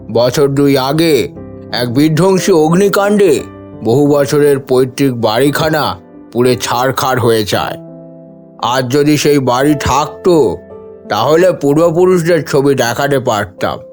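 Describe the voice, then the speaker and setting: An actor playing an elderly, proud and hospitable family patriarch with a stately, authoritative delivery in an audio story. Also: male voice, mid-range voice, close to an everyday voice. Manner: slow, stately, authoritative speech. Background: background music.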